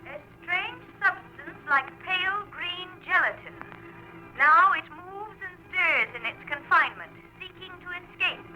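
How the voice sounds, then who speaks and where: A woman's voice: very high voice, expressive, unhurried, sharp tone, heavily acted, rough recording. An actress playing an ominous, melodramatic female radio commentator in suspenseful 1940s style. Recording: old radio broadcast with music.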